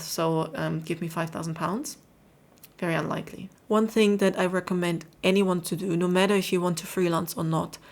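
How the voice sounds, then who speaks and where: A woman from Austria in her thirties talking candidly to camera in a motivational vlog, chatty and casual with some fillers. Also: on the low side. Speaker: vlogger.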